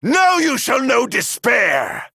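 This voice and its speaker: A man playing a middle-aged video game villain, sardonically genteel and flamboyant, with mock-polite, drawn-out delivery. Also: very high-pitched, huge pitch swings, vocal fry, pushed and bright, husky, no room sound, shouting, clean studio sound, exaggerated voice. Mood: fierce, exultant. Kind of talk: video game battle voice lines.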